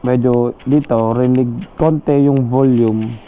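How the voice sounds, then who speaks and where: A man's voice: medium pitch, fairly even intonation, slow pace, faint music behind. A man chatting informally to viewers as he tests a product, mixing in English, recorded on an action camera microphone fitted in a helmet. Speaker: vlogger. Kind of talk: casual product test chat. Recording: narrow, phone-like action camera sound.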